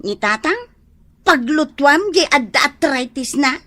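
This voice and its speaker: A jealous, whiny younger sister with an Ilocano accent acted by a woman, very high in pitch. She complains melodramatically, in the style of a radio comedy drama. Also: animated, a little slow, bright, forceful tone, exaggerated voice. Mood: complaining.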